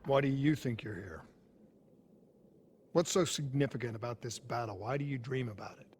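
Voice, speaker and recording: A man acting a determined soldier in a cinematic video game, speaking in a grave, urgent and deliberate way. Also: mid-range voice, a little hiss or hum, natural voice. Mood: grave, low-key. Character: determined young male soldier probing a troubled mind, earnest. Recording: voice under loud game soundtrack music.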